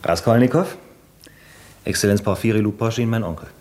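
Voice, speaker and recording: Roomy, noisy recording, male actor as sociable gentleman nephew, lowish voice, radio play. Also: brisk, natural voice. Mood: polite, social. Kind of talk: German radio drama acting. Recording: roomy echo, audible noise.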